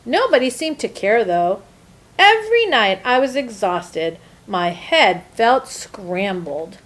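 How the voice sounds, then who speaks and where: A woman voicing an earnest, eager-to-please good egg in an expressive, emphatic children's storybook telling. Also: mid-range voice, takes it slowly, slight background noise, low-quality recording. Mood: earnest, weary. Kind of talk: first-person children's storybook narration.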